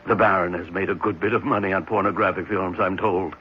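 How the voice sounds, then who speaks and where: A man playing a thoughtful, assured psychiatrist in a 1970s radio drama, explaining things in a measured, reflective way with some hesitation. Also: sits low, steady tone, natural voice. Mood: grave. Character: thoughtful, assured male psychiatrist at a private clinic. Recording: muffled, noisy 1970s radio recording.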